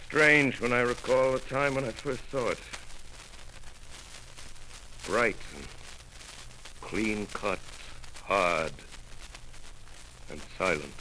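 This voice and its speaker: A solemn middle-aged astronomer, voiced by a man recounts the end of an invasion in a grave, halting way. His voice sits fairly low with a bright, forceful edge. This is 1930s radio drama narration with faint hiss underneath. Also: low-quality recording. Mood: somber. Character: solemn male astronomer survivor writing his account.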